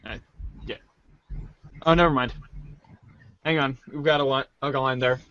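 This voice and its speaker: Female show host, bright forceful voice, slow and swingy, casual, directing readers over a noisy line. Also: rumbling low, steady tone. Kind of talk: casual live amateur show. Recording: lo-fi with clear background noise.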